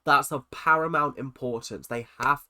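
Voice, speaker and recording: A man with a stuffy, nasal voice from a cold, chatting excitedly as he reacts to a show. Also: mid-range voice, normal speed, noisy background. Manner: excitable, chatty, swings between loud exclamations and muttered asides. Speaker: reaction video host. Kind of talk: casual reaction commentary.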